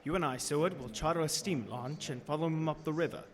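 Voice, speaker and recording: An actor playing a resolute young man on a vampire hunt, giving measured diary entries in a live radio horror drama. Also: fairly high pitch, crowd noise, close to an everyday voice. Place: small theater stage. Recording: noisy live stage broadcast, long reverb, hoofbeats.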